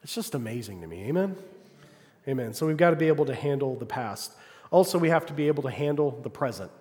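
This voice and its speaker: A man preaching a sermon, calling for "amen" from the congregation and swinging between loud, fervent passages and quiet asides. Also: medium pitch, lively intonation, quick pace. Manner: call-and-response, asks congregation "Amen?". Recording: long hall reverb.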